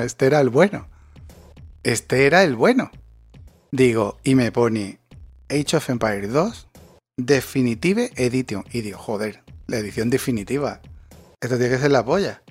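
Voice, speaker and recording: Forties male, sarcastic ranting co-host, mid pitch, faint music bed. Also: no echo. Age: 40s. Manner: ranting, sarcastic, swears freely. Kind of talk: casual chat.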